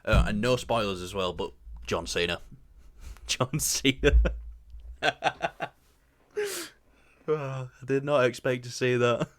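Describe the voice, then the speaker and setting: A man's voice: mid-range voice, slow pace, pauses often, no echo. A young man with a Yorkshire accent co-hosting a movie review show, chatting casually with lots of fillers and restarts. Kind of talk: casual chat.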